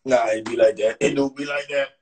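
A man's voice: medium pitch, steady tone, brisk, a little hiss or hum. A young man hosting a casual livestream from a small bathroom, talking into a phone at arm's length in a laid-back, slangy way full of repeated fillers. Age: young adult. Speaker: livestream host. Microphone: phone held at arm's length.

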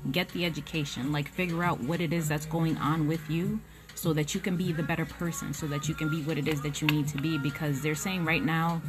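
Young woman, low voice, casual swearing tarot reader talking close to a phone at home, music bed behind. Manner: frank, blunt and swearing, with frequent "um" and "like". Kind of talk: casual spoken tarot reading. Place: small indoor room at home. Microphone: phone camera at close range.

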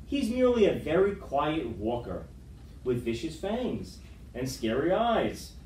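Animated man reading aloud, roomy and distant. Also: fairly high pitch, a little slow, slight background noise. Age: middle-aged. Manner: dramatic, animated storytelling. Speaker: storytime reader. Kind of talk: expressive read-aloud of a children's picture book. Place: school room with wood-panelled walls, indoors. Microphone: far camera microphone. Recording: roomy, distant camera microphone.

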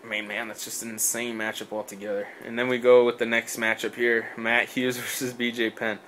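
A man co-hosting a casual podcast, rambling through his points with lots of fillers and false starts. His voice is bright and forceful at a middling pitch. There is a faint hiss of noise behind him. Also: little pitch movement, a little fast. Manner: rambling, many fillers and restarts, swears. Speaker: podcast co-host. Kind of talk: casual sports chat.

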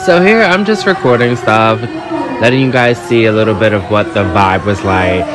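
Campy sassy guy from new york doing vlog narration, music loud behind him. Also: fairly low pitch, normal speed, crowd noise. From New York, United States. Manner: sassy, campy, emphatic, repeats words for effect. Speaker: vlogger. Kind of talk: casual vlog voice-over narration.

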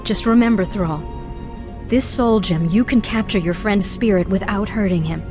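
An actress playing a proud, wary young human sorceress in a video game, speaking in a crisp, self-assured manner. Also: female voice, mid-range voice, normal speed, music in the background, lightly acted. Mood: businesslike.

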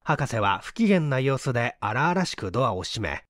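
A man's voice: mid-range voice, sing-song, moderate pace, fairly bright tone, dry recording, clean studio sound. A man reading a mystery story as dramatic scripted narration. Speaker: audiobook reader, stage actor.

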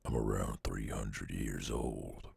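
A man playing a weary, centuries-old werewolf with a gruff Southern drawl, halting and tender in an intimate ASMR roleplay. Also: extremely low pitch, steady tone, darkish tone, no echo, exaggerated voice. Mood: gruff, resigned. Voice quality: very gravelly, husky growl. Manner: halting, brooding drawl with long pauses. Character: gruff weary three-hundred-year-old male werewolf, guarded yet tender.

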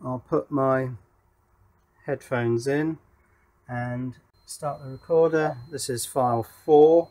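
A man's voice: medium pitch, fairly even intonation, takes it slowly, darkish tone. A man giving a casual hands-on electronics demonstration, thinking aloud as he works. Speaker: electronics hobbyist presenter. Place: indoor workshop.

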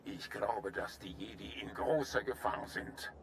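Forceful, earnest alien general. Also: male voice, on the low side, vocal fry, a little hiss or hum. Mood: urgent, grave. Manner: formal, measured, earnest. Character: earnest male alien general, grave and courteous. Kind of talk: German video game voice acting.

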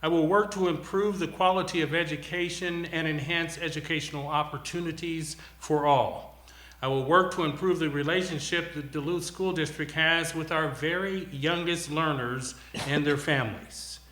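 A man's voice: a little high, steady tone, normal speed, sharp tone. A man giving a formal, declarative campaign speech, addressing his audience directly. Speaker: school board candidate. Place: TV studio. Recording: roomy, echoing studio sound.

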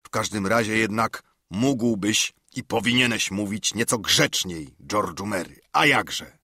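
A man's voice: on the low side, measured pace, husky, no echo, studio quality, full character voice. A man voicing a cunning, domineering older pirate leader who mocks and threatens his crew. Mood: threatening. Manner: mocking, threatening, loud.

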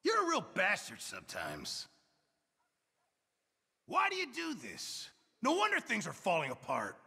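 Gravelly, excitable Western camp cook. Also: male voice, sits high, measured pace, in a large hall, full character voice. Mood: exasperated. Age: middle-aged. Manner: exasperated, excitable, swinging from mutters to shouts. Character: gruff, fretful middle-aged male camp cook in a Western. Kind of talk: video game ambient character barks.

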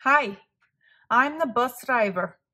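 A woman plays a friendly bus driver from a storybook. The driver is chatty and casual, with a bright, lively delivery and brisk, trusting air. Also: fairly high pitch, exaggerated voice. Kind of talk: children's picture-book read-aloud.

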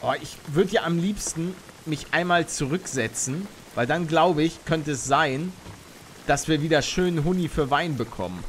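A young man talks freely on a live game stream, reading chat aloud and reacting with bursts of excitement. His voice sits a little high for a man and comes through a close studio mic. Faint rain from the game hangs in the background. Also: moderate pace, a little hiss or hum. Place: home streaming room, indoors. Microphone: studio mic on arm near face.

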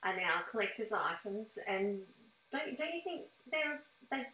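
Female interviewer, middle-aged. Crisp bright tone, slightly high, in an echoey room on a video call. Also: lively intonation, measured pace, a little hiss or hum. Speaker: interviewer, host. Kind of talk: conversational interview with scripted introduction. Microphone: device mic on a video call.

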